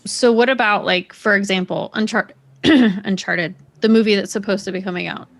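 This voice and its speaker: Female podcast panelist, medium pitch, informal and conversational. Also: normal speed, gravelly. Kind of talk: casual chat.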